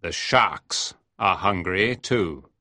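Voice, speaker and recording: A woman voicing a stern, steady male ship captain in a storybook reading, speaking firmly. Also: a bass voice, drawn-out words, no echo, studio quality, voice pushed down, full character voice. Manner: firm, commanding.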